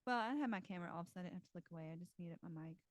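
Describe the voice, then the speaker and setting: A woman co-hosting a casual podcast chat, her voice a little hoarse from a recent cold, chatty and quick to laugh. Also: fairly low pitch, no echo. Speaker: podcast co-host. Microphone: home podcast mic, video recorded.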